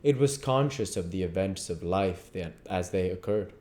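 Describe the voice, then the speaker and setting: Male audiobook reader, lowish pitch, deliberate literary narration, occasional stumbles in reading. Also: expressive, a little mellow. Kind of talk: literary narration read aloud.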